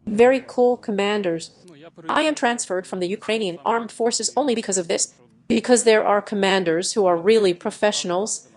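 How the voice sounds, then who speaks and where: A computer-generated woman's voice dubs the whole video in a mid-range pitch. Her phrasing is stiff and even, with the slightly odd word choices of a machine translation. The voice-over is clean and close. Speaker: AI dub voice for every speaker.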